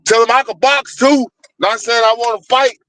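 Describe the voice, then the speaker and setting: A man, hosting a casual livestream and bragging in a loud, profane way. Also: very high voice, moderate pace, a little hiss or hum. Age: 50s. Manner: boastful, profane, animated. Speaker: livestream host.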